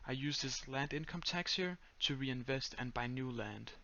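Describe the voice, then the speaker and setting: A young man with a Danish accent giving a step-by-step screen-recorded tutorial in a flat, monotone voice full of "uh"s and "um"s. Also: mid-range voice, normal speed, gravelly, dull audio, a little hiss or hum. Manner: monotone, many fillers. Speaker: tutorial creator.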